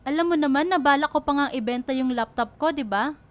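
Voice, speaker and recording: A woman's voice: sits high, fast, lightly acted. A woman playing a proud, caring young student girlfriend in a radio romance drama, speaking earnestly and pleadingly. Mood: hurt, reproachful.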